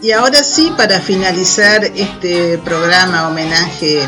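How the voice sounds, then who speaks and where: A woman with a slightly low voice hosts a radio programme in an Argentine accent. She reads her introduction over clearly audible guitar music. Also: measured pace. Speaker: radio host. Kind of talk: scripted radio presenting.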